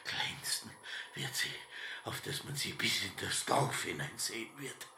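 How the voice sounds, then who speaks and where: An actor playing an obsessed, dying old farmer with an Austrian accent, declaiming stubbornly and grandly in a literary radio drama. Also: male voice, fairly low pitch, sing-song, measured pace, vocal fry, sharp tone, reverberant, slight background noise, full character voice. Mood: visionary, rapt.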